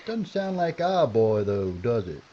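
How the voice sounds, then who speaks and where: A man playing a gruff frontier marshal. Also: mid-range voice, sing-song, unhurried, warm tone, a little hiss or hum. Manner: gruff, sardonic. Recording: dull lo-fi audio.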